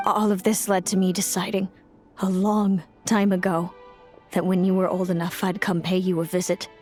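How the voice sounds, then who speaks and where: An actress playing a bitter, wounded young-sounding fairy elder sister in a video game, speaking in a measured, emotional confiding way. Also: female voice, on the low side, level tone, darkish tone, close to an everyday voice. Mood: bitter, pained. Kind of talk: dramatic video game voice acting. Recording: music behind the voice. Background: music.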